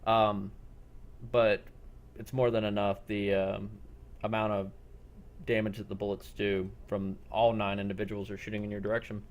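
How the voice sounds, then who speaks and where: A man narrating a role-play game as game master, speaking in a halting, deliberate way. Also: on the low side, little pitch movement, muffled recording.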